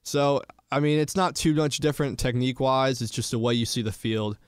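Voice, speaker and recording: A man's voice: medium pitch, fairly quick, smooth, no echo, clean studio sound. A young man from Florida, a college athlete casually answering questions in an interview. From Florida, United States. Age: young adult. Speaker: college football player guest.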